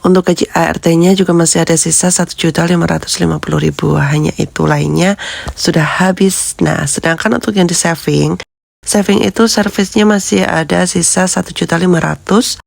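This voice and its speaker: A woman vlogger with a hoarse, congested voice from a cold, chatting with viewers as friends and steadily reading out figures. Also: on the low side, fairly even intonation, moderate pace. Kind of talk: casual budgeting vlog talk.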